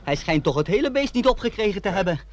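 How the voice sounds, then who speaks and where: A man's voice: high voice, very animated, fast. An actor playing a nervous, stammering village man on a lion hunt in a radio play.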